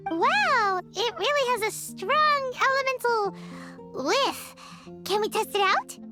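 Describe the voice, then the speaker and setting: A tiny floating fairy girl with an extremely high, childlike voice that swings up and down. She is cheeky and excitable, a video game sidekick, with music playing behind her. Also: slow, heavily acted. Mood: amazed, eager. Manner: excitable, refers to self in third person. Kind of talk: video game character acting.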